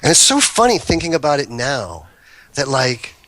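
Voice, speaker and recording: A man's voice: mid-range voice, sing-song, normal speed, fairly bright tone, no room sound. A man guesting on a talk show, telling long, rambling anecdotes and often breaking off mid-sentence. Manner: rambling, self-interrupting anecdotes. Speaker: guest, cannabis breeder. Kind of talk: casual storytelling chat.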